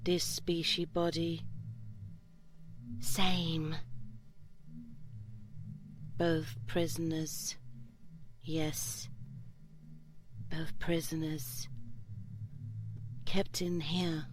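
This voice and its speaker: A woman voicing a seductive, curious slime creature in an intimate audio roleplay, speaking soft, halting broken English in short fragments, often whispering. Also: low voice, flat delivery, a little hiss or hum. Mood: hushed, wary.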